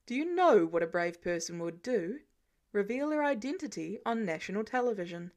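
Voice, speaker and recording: A woman's voice: mid-range voice, very animated, normal speed, darkish tone. A woman voicing a smug, self-assured, teasing older man with a matter-of-fact, goading delivery in an audiobook. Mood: challenging. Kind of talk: audiobook character dialogue.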